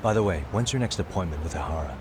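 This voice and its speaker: An actor playing a laid-back, sharp male private detective in a video game, talking in a casual, conversational way. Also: deep voice, expressive, fast talker, background noise. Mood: casual, businesslike.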